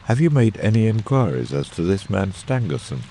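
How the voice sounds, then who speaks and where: A man playing a shrewd, probing Victorian gentleman detective in a dramatic audiobook, incisive and questioning. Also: on the low side, moderate pace, a little hiss or hum. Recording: steady rain ambience over voice. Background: rain.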